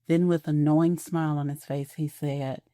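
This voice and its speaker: A woman narrating an audio drama in a soft, measured storytelling voice, recorded on a close studio mic. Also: sits low, steady tone, dry recording.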